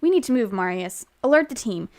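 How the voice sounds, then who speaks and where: Actress as anxious covert operative, higher voice, pleading, audio drama, faint clicks and noise. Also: female voice, expressive, brisk, darkish tone. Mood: urgent.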